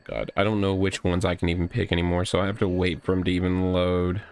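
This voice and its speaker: A man's voice: low voice, little pitch movement, fast talker, a little mellow, smooth. A man giving casual, wry gaming commentary, muttering exclamations as he plays. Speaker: let's play host. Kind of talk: casual gaming commentary, reading game text aloud. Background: game music.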